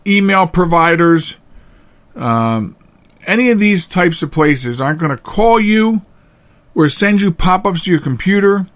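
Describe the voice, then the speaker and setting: Guy doing a podcast solo, kinda higher voice, earnest and plain spoken, halting, repeats himself to make the point. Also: a bit bright. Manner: halting, with frequent repetition of phrases for emphasis. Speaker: podcast host. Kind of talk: casual unscripted podcast monologue.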